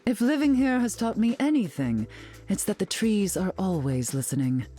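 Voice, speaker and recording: A woman voices a haughty society queen from a video game. She is arch and imperious, with a mid-pitched voice that swings elegantly through its range. Soft music plays behind her sardonic lines. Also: very animated, normal speed, close to an everyday voice. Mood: imperious, cool. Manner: imperious, arch, drawling endearments. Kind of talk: video game character dialogue.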